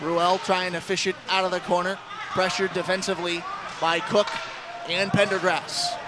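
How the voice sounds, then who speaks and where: A man's voice: high-pitched, flat delivery, moderate pace, heavy background noise. An American man doing live play-by-play radio commentary of a hockey game, describing the action in a descriptive announcer's style. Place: ice rink during a live game. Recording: music playing loudly behind live commentary.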